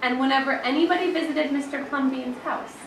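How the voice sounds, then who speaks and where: A woman schoolteacher reading a picture book aloud to a class, with dramatic, expressive storytelling and emphasis on key words. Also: high-pitched, a little fast. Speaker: elementary school teacher. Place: indoor school classroom, with children present. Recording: roomy, distant, noisy classroom recording.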